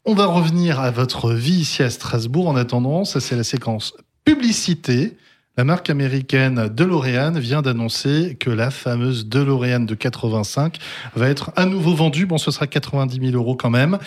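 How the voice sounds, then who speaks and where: A man's voice: mid-range voice, animated, normal speed. A man hosting a French radio interview, asking friendly questions with a joking touch. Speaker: radio show host.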